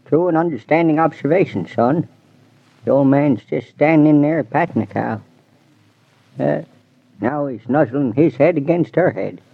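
An actor playing a folksy, talkative old farmhand in rural dialect, in 1940s radio acting style. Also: male voice, on the high side, unhurried, creaky, husky, exaggerated voice. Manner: rural dialect, spins homespun yarns. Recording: dull 1940s radio recording.

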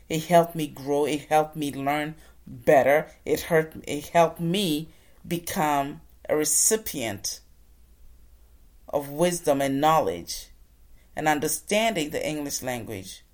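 A woman from Ghana speaks to an audience about her own life. Her voice sits quite low and sounds deep. She speaks with earnest, preacher-like conviction and addresses the listeners directly. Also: darkish tone. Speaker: motivational speaker. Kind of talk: inspirational storytelling talk.

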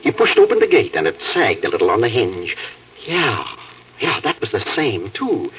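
An American actor playing a fatherly night editor, telling stories in a reflective, halting, dramatic 1940s radio manner. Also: medium pitch, wildly swooping pitch, slight background noise. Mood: wistful, reflective. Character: fatherly newspaper night editor spinning wistful human-interest yarns. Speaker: storyteller. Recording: muffled, distant old radio broadcast.

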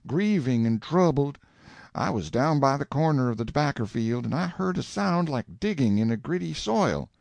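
A man's voice: fairly high pitch, a little mellow, no echo. A man reading as a grieving rustic witness. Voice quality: folksy rustic dialect.

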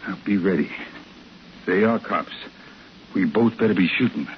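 A man acts out a callous bank robber with a deep voice and a folksy, rough drawl. He speaks matter-of-factly and without pity. The sound is a dull vintage radio recording with faint noise. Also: moderate pace, lightly acted. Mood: tense, wary. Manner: folksy ungrammatical speech, matter-of-fact and callous. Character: cold, hard male outlaw bank robber on the run. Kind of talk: 1950s radio drama acting. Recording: muffled, faintly noisy 1950s radio recording.

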